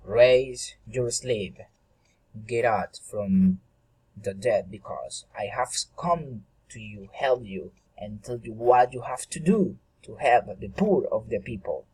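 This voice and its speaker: A radiant old god from a storybook, acted out by a man. He speaks with slow, solemn care and halting phrases. The voice is husky and kind. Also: medium pitch, a little mellow, poor audio. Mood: solemn summons. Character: gentle radiant old male god of love.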